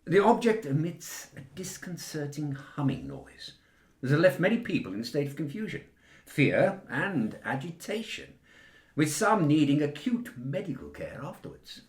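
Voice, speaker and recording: A man with a British accent playing a formal, dutiful government official delivering a precise, measured briefing in a satirical radio play. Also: a little high, very animated, echoey room. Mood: officious, grave.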